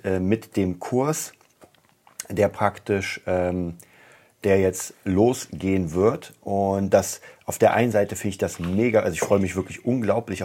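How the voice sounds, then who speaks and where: A man's voice: low voice, a little mellow, slightly rough. A man with a cold and a stuffy nose, hosting his own podcast with a casual weekly update. He chats along and repeats words for emphasis. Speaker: podcast host.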